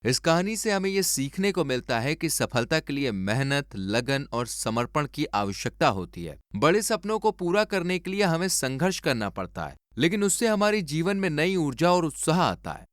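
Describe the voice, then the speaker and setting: Animated male storyteller, highish voice. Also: normal speed, few pauses, no echo, studio quality. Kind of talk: scripted moral story narration.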